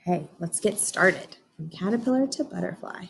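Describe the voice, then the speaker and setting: A woman's voice: fairly low pitch, lively intonation, normal speed, gravelly, a little hiss or hum, poor audio. A woman teaching young children in an interactive read-aloud lesson, encouraging and instructional, often putting questions to her listeners.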